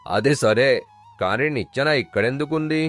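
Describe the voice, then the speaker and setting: A man playing a worried, plain-spoken villager who grumbles and questions, in an animated moral story. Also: medium pitch, normal speed, soft background music, close to an everyday voice. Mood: puzzled.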